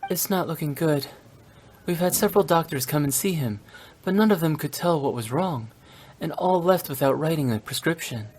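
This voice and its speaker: A man playing a courteous, composed young nobleman in a video game, speaking in a measured, formal way. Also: a little high, darkish tone, a little hiss or hum, close to an everyday voice. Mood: somber, composed. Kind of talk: English video game voice acting.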